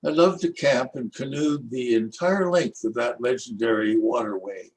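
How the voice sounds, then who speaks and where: An older Canadian man reading his own rhyming poems aloud in a measured, lilting recitation. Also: mid-range voice, slight background noise, poor audio. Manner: lilting, expressive recitation with rhythmic rhyme. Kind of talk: slow, measured poetry reading.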